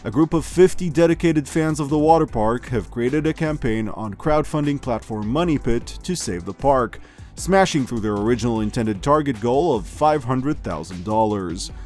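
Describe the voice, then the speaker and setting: Man acting a deadpan radio news anchor. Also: medium pitch, faint music behind, natural voice. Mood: composed, dry. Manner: deadpan, measured announcer delivery. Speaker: host. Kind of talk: scripted news broadcast parody.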